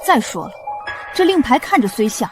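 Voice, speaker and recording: A woman's voice: high voice, big pitch swings, normal speed, over music. An actress playing a haughty, defiant female military officer in a costume drama, brazen and scornful. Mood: skeptical. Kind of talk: costume short-drama acting.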